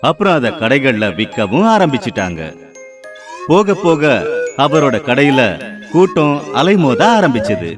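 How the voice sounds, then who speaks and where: A man's voice: on the high side, very animated, unhurried. A man narrating a scripted moral story for children. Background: music.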